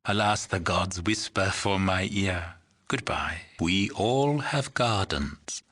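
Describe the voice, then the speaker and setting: An aged village mystic for a video game. He speaks very slowly in a breathy, husky voice on the low side. His phrasing is solemn, ceremonious and full of cryptic poetry. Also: fairly bright tone, exaggerated voice. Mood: serene, ceremonious. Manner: slow, ceremonious, poetic phrasing. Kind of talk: dramatic video game character acting.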